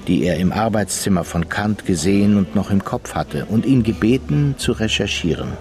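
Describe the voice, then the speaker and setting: A man narrates a radio crime play in a lowish, measured, matter-of-fact voice. Music plays beneath the narration.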